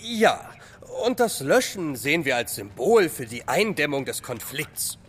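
A man's voice: fairly high pitch, very animated, unhurried, a bit bright, long reverb tail, a little hiss or hum. An actor playing a cheeky boy who offers glib excuses in a children's audio drama. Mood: mock-lofty.

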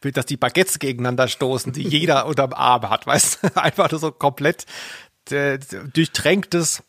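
A man's voice: medium pitch, normal speed, a bit bright, dry recording, clean studio sound. A man co-hosting a casual comic podcast, asking questions in a mock-puzzled way. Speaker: podcast co-host.

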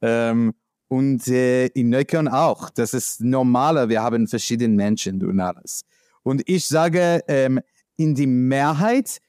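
A man from the United States with an American accent, speaking in a halting, searching way with many fillers, answering as an interviewee. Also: medium pitch, darkish tone, no room sound, clean studio sound. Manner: halting non-native speech with many fillers. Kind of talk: conversational interview.

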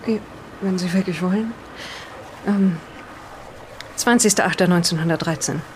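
A woman's voice: fairly low pitch, noisy background, lightly acted. A woman playing a hesitant, bewildered reader of an old diary, reading aloud and commenting in an audio drama. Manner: halting, breaks off to comment on the reading.

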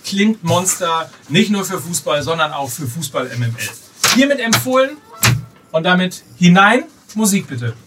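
A man's voice: sits high, very animated, moderate pace, far from the mic, over music. A man hosting and moderating a casual, humorous football podcast, recorded slightly away from the microphone.

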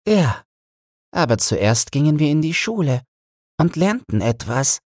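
A fond old wife played by a man reminisces in a bedtime storybook reading. The delivery is slow and smooth, lifted well above the reader's own pitch, and recorded dry. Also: sing-song, no echo. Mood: nostalgic. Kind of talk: audiobook fairy-tale character reading.

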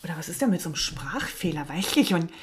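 Eine Frau mit leichtem Lispeln, die als Co-Host offen und locker plaudert und dabei oft lacht. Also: female voice, fairly low pitch, sing-song, fast talker, a crowd in the background. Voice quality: slight lisp. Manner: frank, chatty, laughs often. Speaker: podcast co-host. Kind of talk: casual chat.

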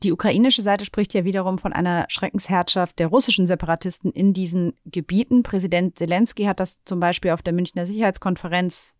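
A woman hosting a news interview, giving background in a clear, composed way before putting questions to a correspondent. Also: fairly low pitch, steady tone, brisk, few pauses, a little mellow, no room sound, clean studio sound. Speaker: news host.

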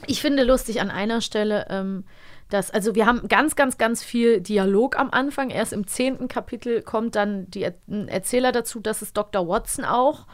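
A woman's voice: a little high, moderate pace. A woman co-hosting an audio magazine, chatting in an informal, playful way. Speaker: magazine show co-host. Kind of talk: casual chat about audio dramas.

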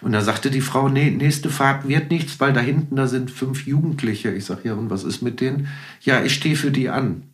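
A middle-aged man speaks as a guest on a podcast. His voice sits at an ordinary male pitch. He tells personal stories casually and enthusiastically, in an easy conversational style. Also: moderate pace. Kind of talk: casual anecdotal storytelling.

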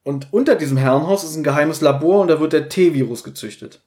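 Higher-voiced man recapping casually. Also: quick pace, warm tone. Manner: retells dialogue in acted-out quotes. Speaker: podcast host.

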